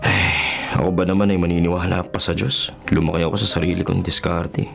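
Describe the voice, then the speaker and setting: A man's voice: sits low, flat delivery, brisk, creaky. A man playing a skeptical, self-reliant journalist in his twenties in a radio drama, with a Filipino accent and a conversational, questioning way of talking. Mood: bitter, scornful. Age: 20s. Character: skeptical 23-year-old male journalist, faithless and self-reliant.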